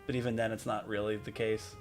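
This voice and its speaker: A man's voice: fairly low pitch, little pitch movement, fast, soft background music. A man giving casual, comedic gameplay commentary as a streamer, talking in a rambling, joking way. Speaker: game streamer.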